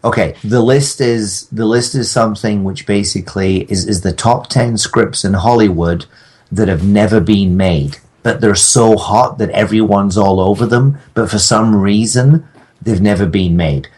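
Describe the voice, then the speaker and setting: A man with a lowish, husky voice talks as a podcast guest. He tells anecdotes casually, circling and pausing as he rambles toward his point. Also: a little mellow. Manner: halting, rambling storyteller.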